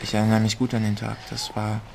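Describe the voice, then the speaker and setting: A man's voice: on the low side, completely flat delivery, fast, vocal fry, noisy background. An actor playing a brooding, subdued teenage boy narrating a German audio drama. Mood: brooding, wistful. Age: young.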